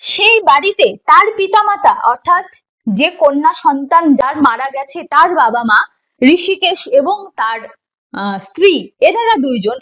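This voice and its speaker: A woman's voice: sits high, a bit bright, low-quality recording. A woman teacher giving an explanatory online lesson in a didactic way, posing questions and answering them herself, and repeating key points. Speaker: teacher of an exam preparation course.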